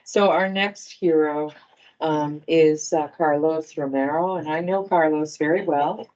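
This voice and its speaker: Low female voice, an organization leader giving informal thanks at a staff ceremony, halting delivery, dull recording. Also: steady tone, a little mellow. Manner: halting, appreciative, addressing a group. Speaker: medical center director presenting awards. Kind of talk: informal award presentation speech.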